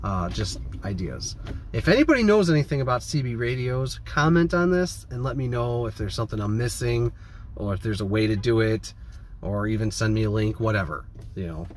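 A man's voice: on the low side, lively intonation, a little fast. A man, chatting casually to camera in a rambling, informal way. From American Midwest. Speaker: vlogger. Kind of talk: casual vlog chat to camera. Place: inside a parked van. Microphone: phone or camera at arm's length. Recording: clear background noise, vehicle ambience.

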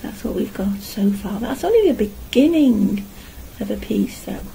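Middle-aged woman, casual hands-on art vlogger, mellow delivery with plenty of creak, noisy home recording. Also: medium pitch, expressive, measured pace. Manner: chatty, talking to herself as she works. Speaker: artist and vlogger. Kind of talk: casual narration while painting. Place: small home art studio, indoors.

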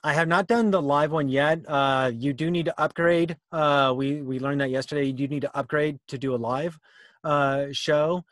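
American man, middle-aged, slightly high voice, dry sound, informal Q&A presenter, explanatory. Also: moderate pace, fairly bright tone, no room sound. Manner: conversational, explanatory. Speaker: workshop presenter answering questions. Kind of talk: informal video-call Q&A. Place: indoor room, on a video call. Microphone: video call.

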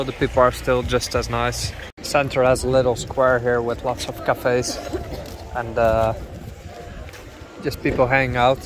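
Travel vlogger, male, even monotone delivery, slight outdoor noise, handheld camera. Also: medium pitch. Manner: relaxed, explanatory, many "uh" pauses. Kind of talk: casual travel vlog narration. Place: outdoors on village streets and in a parked car.

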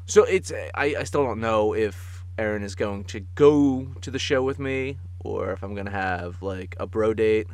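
A man's voice: fairly low pitch, normal speed, hardly any pauses, no room sound. Casual, chuckling man on a close mic. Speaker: podcast co-host. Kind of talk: casual comic chat.

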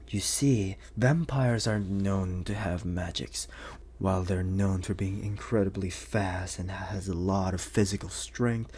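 A man voicing a suave, menacing, flirtatious vampire bounty hunter in an ASMR roleplay, teasing in breathy half-whispers with lingering pauses. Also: low-pitched, darkish tone, slight background noise. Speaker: voice actor.

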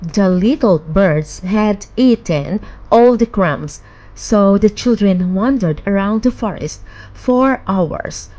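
A woman narrating a children's bedtime fairy tale in a measured, expressive storytelling manner. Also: medium pitch, rough recording.

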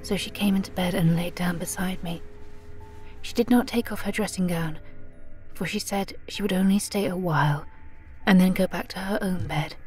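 Audio drama role: actress as weakened, fearful young woman, lowish female voice, whispery delivery, music underneath. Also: a little mellow, lightly acted. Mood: fearful, urgent. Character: frightened, weakened young woman writing her last record. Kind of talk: audio drama first-person narration.

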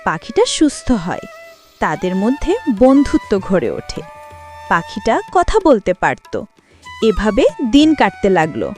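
Animated woman telling a fairy tale. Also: mid-range voice, a little slow, soft background music. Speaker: storyteller. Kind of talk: scripted children's fairy tale narration.

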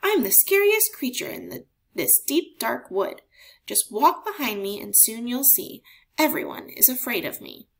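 Small boastful mouse for a storybook, played by a woman: bright-witted, polite, bold, animated. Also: a little high, unhurried, creaky, full character voice.

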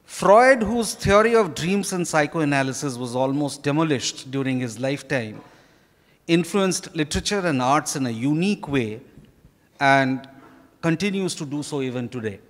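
A middle-aged man with an Indian accent, speaking deliberately and haltingly as an interviewed author reading prepared remarks on stage. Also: fairly high pitch, animated. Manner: slow, deliberate, halting delivery. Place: hotel ballroom stage. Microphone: handheld microphone. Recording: echoey ballroom with long reverb.

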